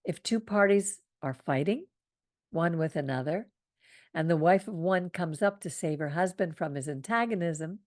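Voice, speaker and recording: Female study host, soothing teaching tone, low and slightly gravelly. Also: no echo, clean studio sound. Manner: soothing, unhurried teaching with small laughs. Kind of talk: reading and commenting on scripture.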